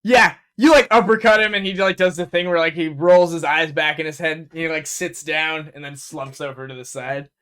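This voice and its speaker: A man narrating a tabletop game as game master, animated and laughing often. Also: fairly high pitch, fairly quick, few pauses, smooth.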